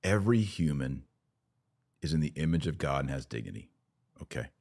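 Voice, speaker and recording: A man's voice: a bass voice, expressive, a little fast, vocal fry, a little mellow. A man hosting a podcast, talking conversationally and arguing a point emphatically through hypothetical examples. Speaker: podcast host.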